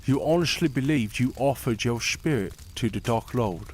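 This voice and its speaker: A man's voice: mid-range voice, animated, normal speed, breathy edge. A man voicing a loyal, aging royal advisor who speaks in a formal, courtly way in a fantasy audio drama. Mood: earnest. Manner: formal, courtly, gently doubting.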